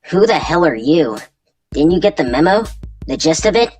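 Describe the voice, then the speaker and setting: Low-pitched crude troll thug woman acting. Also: sing-song, moderate pace, darkish tone, soft background music. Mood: hostile. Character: crude, hostile troll camp thug turned stoner. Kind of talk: amateur comic dub acting.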